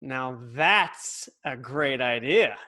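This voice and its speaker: A man's voice: mid-range voice, animated, extremely slow, sharp tone, full character voice. A man reading the part of a cocky, martial-arts-obsessed kid brother at a sitcom table read. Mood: smug.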